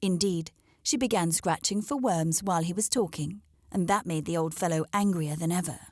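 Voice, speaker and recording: A woman's voice: lowish voice, moderate pace, a little mellow, no room sound, clean studio sound. A woman narrating a children's storybook aloud as a scripted read-aloud.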